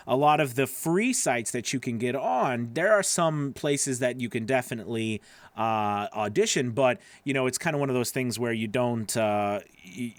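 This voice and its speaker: A middle-aged man talks casually into a close broadcast microphone in a dry home studio. His voice sits at an average male pitch. He explains things like a coach hosting his own video, conversational and loosely rambling, often checking that the listener follows. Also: no echo. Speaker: voice-over coach and video host. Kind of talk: casual instructional talk to camera. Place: small home studio with acoustic foam, indoors. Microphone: broadcast microphone on a boom arm, close.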